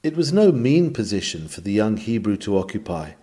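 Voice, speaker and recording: A man reading an old sermon aloud in a measured, emphatic, oratorical manner. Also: on the low side, sing-song, dark tone. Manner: emphatic, measured, formal delivery. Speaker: reader of a historical sermon.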